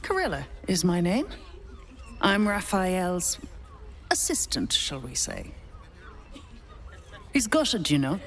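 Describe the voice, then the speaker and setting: A woman's voice: fairly low pitch, wildly swooping pitch, takes it slowly, pauses often, full character voice. A woman playing a brash, persuasive devil's agent in a game, teasing and colloquial in her delivery. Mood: cheeky.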